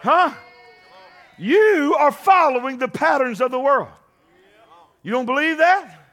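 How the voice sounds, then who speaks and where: High, bright-voiced preaching man. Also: expressive, a little slow. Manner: impassioned preaching, shouting at peaks. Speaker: pastor. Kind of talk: sermon.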